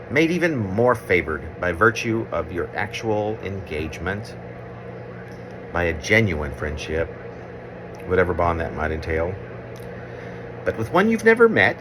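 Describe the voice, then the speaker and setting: A man reading his own literary poem aloud with deliberate phrasing, pauses and repetitions. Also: lowish voice, background noise. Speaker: poet reading own work.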